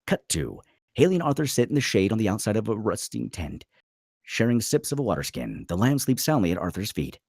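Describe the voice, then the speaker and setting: Casting: male narrator for screenplay read, lively pitch swings, husky, clipped dramatic phrasing. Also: fairly quick, no room sound, clean studio sound. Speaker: narrator reading stage directions.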